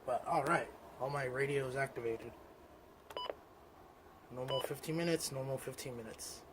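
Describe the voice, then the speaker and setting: Faint noise sits behind a man with a mid-pitched voice. He talks casually, like a video host commenting on his hobby gear and addressing his viewers directly. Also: moderate pace.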